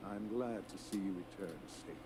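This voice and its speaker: An actor voicing a composed, patrician elderly leader in a video game, formal and measured. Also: male voice, on the low side, crowd noise, lightly acted. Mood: calm, lecturing.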